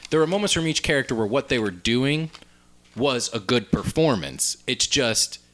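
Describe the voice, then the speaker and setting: A man's voice: medium pitch, lively intonation, normal speed, fairly bright tone. A man co-hosting a casual podcast discussion, earnestly reasoning through his opinions. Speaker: podcast host. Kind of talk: casual film and video game discussion.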